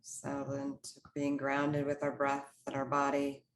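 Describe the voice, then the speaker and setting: A woman with a low, level voice guides a meditation. She speaks in soothing, short phrases and leaves space between them. Her intonation stays very even throughout. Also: a little hiss or hum. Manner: slow, pausing, soothing instructional delivery in short phrases.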